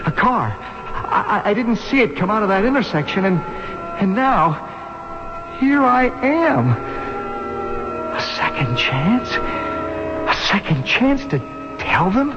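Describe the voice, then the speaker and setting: An actor playing an anguished, determined man with an urgent, halting manner in a 1970s radio drama. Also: sits high, big pitch swings, over music, full character voice. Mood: anguished, dazed. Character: anguished, determined man reborn in another man's body. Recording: dull 1970s radio recording.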